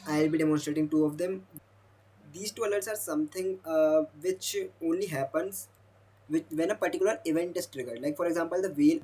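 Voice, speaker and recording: A young man, a Hindi speaker, walking through a demo of his own software while thinking aloud. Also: a little high, husky, low-quality recording. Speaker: software developer demoing his app. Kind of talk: screen-recorded product demo.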